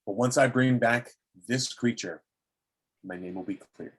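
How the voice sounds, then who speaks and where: A man playing a menacing, obsessive old explorer in a table read, theatrical and deliberate, with a husky voice. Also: mid-range voice. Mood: brooding, obsessed.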